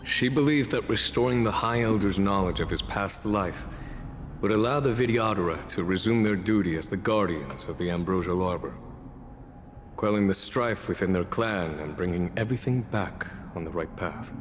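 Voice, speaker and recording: An actor playing a calm, shrewd general in a video game, speaking in measured, formal, eloquent phrases. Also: male voice, sits low, a little hiss or hum, close to an everyday voice. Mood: grave. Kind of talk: scripted video game dialogue. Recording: long reverb, game music behind.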